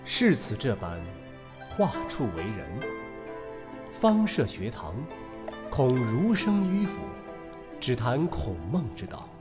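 A man acts out a roguish period traveller in an audio drama, witty and teasing. His delivery is unhurried and theatrical, with wide swings of pitch. Prominent music plays behind him. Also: big pitch swings. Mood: lofty, declaiming. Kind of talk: Chinese audio drama acting, period dialogue.

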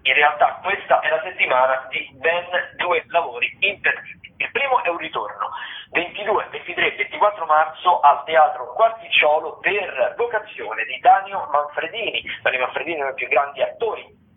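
A man's voice: fairly high pitch, animated. Man on narrow, phone-like radio. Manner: halting delivery, reading notes and commenting off the cuff. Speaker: radio host. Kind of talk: theatre listings round-up, semi-scripted radio talk. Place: radio broadcast.

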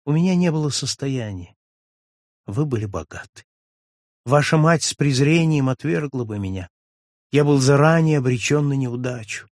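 A man voicing a suave gentleman friend who pleads tenderly with a touch of ironic melancholy, read for an audiobook. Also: medium pitch, lively intonation, moderate pace, no room sound, studio quality, close to an everyday voice. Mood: urgent. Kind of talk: audiobook reading of a classic novel.

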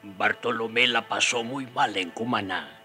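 Man as low-voiced narrator over loud music. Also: unhurried, natural voice. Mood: reflective. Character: reminiscing garden worker narrating his friend's story. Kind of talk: scripted radio drama narration.

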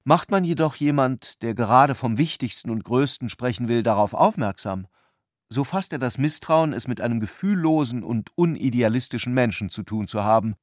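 A man delivers scripted literary narration for a radio play. His voice is mid-pitched, and he phrases things in a measured, reflective way. The recording is dry, with no room around the voice. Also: animated, no echo.

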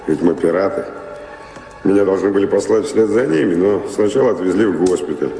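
A nostalgic seafaring vagabond for a radio play. The voice is deep and mellow, musing in broken phrases like a born storyteller, and it rings in a roomy, echoing space. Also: male voice. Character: wandering male seafarer and former pirate, talkative, nostalgic. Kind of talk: Russian audio drama acting. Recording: roomy reverberant hall sound.

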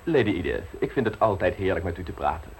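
A man's voice: deep voice, sing-song, fast, husky, full character voice. An actor playing a witty, self-assured young male secretary in a radio play, talking in a breezy, teasing way. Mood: cocky.

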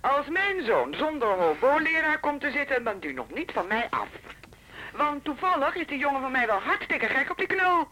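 A woman's voice: sits high, big pitch swings, moderate pace, bright, forceful tone, exaggerated voice, rough recording. An actress in a Dutch radio drama playing a busy, protective housewife and mother, blunt and indignant on the phone. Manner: blunt, indignant, plain-spoken.

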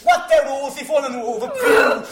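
An actress playing a penny-pinching, sarcastic, exasperated flatmate in a comic radio drama, with an emphatic, colloquial delivery. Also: female voice, high voice, normal speed, background noise. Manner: emphatic, colloquial, foul-mouthed ranting. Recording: echoey room with faint music.

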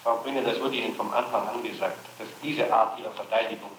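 A man's voice: lowish voice, fairly even intonation, fast, a bit bright, reverberant, slight background noise, low-quality recording. A man interrogating someone in an admonishing, insistent, accusatory way on an archival tape.

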